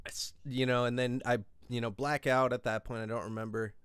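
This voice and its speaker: A young man in his late twenties spins a wild party story, swearing freely and getting animated. His voice is smooth and resonant, and the sound is dry and close with no room around it. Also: mid-range voice, a little fast, no echo. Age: 20s. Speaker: podcast host. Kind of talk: casual storytelling.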